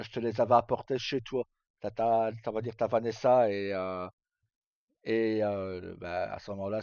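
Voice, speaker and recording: A dull, dry recording of a man with a fairly low, mellow voice. He talks casually like a streamer recounting old roleplay stories. His delivery rambles and halts, with words repeated as he searches for the next one. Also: dry recording. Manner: halting, rambling, frequent self-repetition. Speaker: livestream host.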